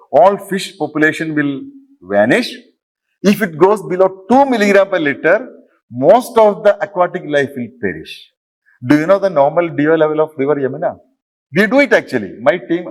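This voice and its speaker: A man's voice: fairly high pitch, low-quality recording. A middle-aged man from Kerala, speaking English with a Malayalam accent, giving a university lecture and often asking the audience questions. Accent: Malayalam-influenced Indian English. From Kerala, India. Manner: interactive, asks audience questions, explains step by step. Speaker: professor, lecturer. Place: lecture hall.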